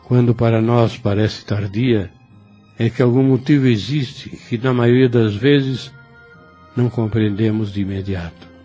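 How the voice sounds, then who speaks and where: An actor playing a gentle, devout, dying old priest who speaks slowly and tenderly in an audio novel. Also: male voice, medium pitch, fairly even intonation, soft background music, natural voice. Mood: gently consoling. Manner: slow, halting, tender speech. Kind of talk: religious audio novel acting.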